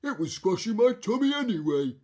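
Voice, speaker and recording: Woman playing a booming, sing-song storybook giant. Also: big pitch swings, brisk, a little mellow. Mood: hearty, encouraging. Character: kind, cheerful giant who sings to himself. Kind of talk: children's storybook character voice.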